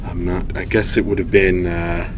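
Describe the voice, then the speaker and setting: A man's voice: low-pitched, level tone, moderate pace, background noise. A man hosting a live story reading, narrating and chatting casually with hesitant, self-deprecating asides. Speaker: storytime host and reader.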